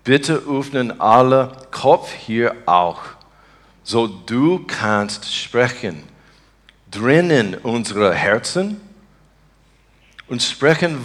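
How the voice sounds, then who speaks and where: A man from Texas with an American accent, a native English speaker, preaching and praying earnestly in halting, broken beginner's speech as he searches for words. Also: mid-range voice. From Texas, United States. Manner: earnest, devout. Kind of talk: informal sermon and spontaneous prayer.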